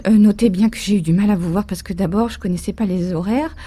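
A woman's voice: medium pitch, quick pace, warm tone, lightly acted. An actress playing a middle-aged rural woman patient, timid and apologetic, hesitating with fillers in a radio drama. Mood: hesitant.

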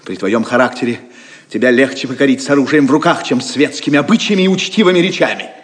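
A man's voice: mid-range voice, fast talker, lightly acted. A man acting a proud, suave Norman knight in an audio drama, with a florid, mock-gallant manner that carries menace. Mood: cold menace. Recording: reverberant hall-like sound.

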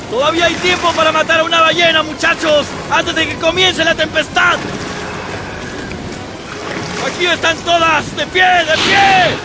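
An actor playing a carefree, pipe-smoking whaleboat officer who shouts orders at his rowers in a radio drama. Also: extremely high pitch, background noise. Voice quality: shouting, rough and driving. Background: dramatic music.

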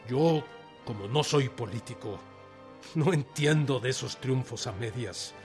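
An actor playing a resolute, defiant Mexican revolutionary leader, declaiming emphatically in a radio drama. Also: male voice, mid-range voice, a little slow, darkish tone, lightly acted. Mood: indignant, defiant. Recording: music behind the voice. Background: music.

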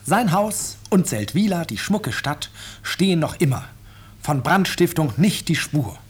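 An actor playing a polite, probing, drily ironic narrator in a 1950s radio drama, speaking in a measured, urbane way. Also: male voice, a little high, sing-song, husky, exaggerated voice. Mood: ironic, narrating. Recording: noisy 1950s radio recording with clicks.

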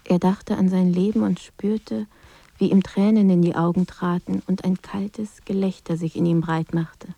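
A woman narrating a literary radio drama in a calm, restrained and measured manner. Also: lowish voice, gravelly, no room sound, muffled recording. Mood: quiet, melancholy. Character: restrained, somber female narrator of a writer's decline.

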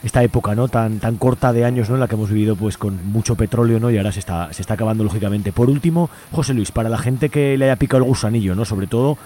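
A man's voice: lowish voice, fast talker, dark tone, a little hiss or hum. A man hosting a radio show in an informal, conversational way, often adding tag questions. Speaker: radio host. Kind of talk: conversational radio interviewing and presenting.

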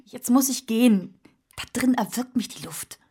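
An actress playing an unhappy, stifled woman in a German radio play, with a husky voice. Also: on the high side, expressive, moderate pace, mellow. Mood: strained, weary. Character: unhappy, stifled woman wanting to leave her partner.

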